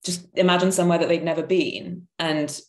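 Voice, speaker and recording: A woman's voice: on the low side, fairly even intonation, fairly quick, darkish tone. A woman in her thirties thinking aloud in an emphatic, searching way as a panelist on a video call. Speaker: panelist, theatre maker. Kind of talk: reflective conversational explanation. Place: indoor room at home, video call. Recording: roomy, echoing video-call sound.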